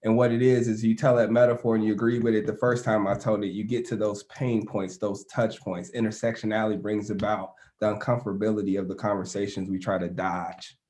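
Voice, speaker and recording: A man panelist explaining his point in a measured way, through an extended example. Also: on the low side, little pitch movement, a little mellow. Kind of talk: panel discussion.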